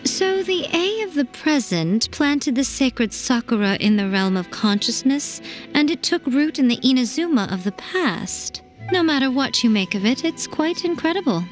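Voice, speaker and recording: An actress playing a wise, self-assured fox shrine maiden in a video game, eloquent and formal with a playful edge. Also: female voice, sits high, very animated, moderate pace. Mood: thoughtful, intrigued. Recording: faint music behind. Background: faint music.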